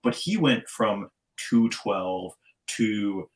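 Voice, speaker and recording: A man's voice: lowish voice, lively intonation, drawn-out words. A man hosting an interview podcast, asking questions with enthusiasm. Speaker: podcast host.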